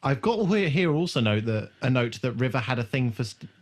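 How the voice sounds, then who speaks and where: A man's voice: mid-range voice, sing-song, normal speed. A man co-hosting a podcast, casually and a little hesitantly piecing together the plot of a TV episode, with informal, sweary language. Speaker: podcast co-host. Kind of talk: casual chat recapping a TV episode.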